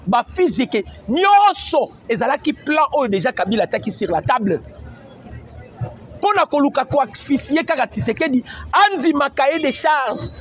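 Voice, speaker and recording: A man with a Congolese accent giving emphatic political news commentary, repeating phrases for effect. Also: very high-pitched. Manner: emphatic, repeats phrases, mixes French and Lingala.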